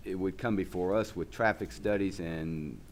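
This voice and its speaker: A man chairing a public commission meeting, speaking in a measured, deliberate way. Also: deep voice. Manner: measured, deliberate, directs the room. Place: large meeting room.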